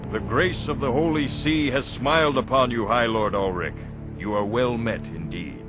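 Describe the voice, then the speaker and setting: A man's voice: mid-range voice, moderate pace, over music, close to an everyday voice. A man voicing a courtly male envoy of an allied army, speaking formally in a game cutscene.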